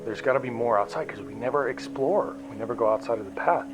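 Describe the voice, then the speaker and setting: A man playing a restless, frustrated explorer in an audio drama, speaking earnestly in halting, repeated phrases. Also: medium pitch, noisy background, natural voice. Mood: persuading. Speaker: narrator and lead character. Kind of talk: audio drama acting, conversational monologue and dialogue. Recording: music behind the voice.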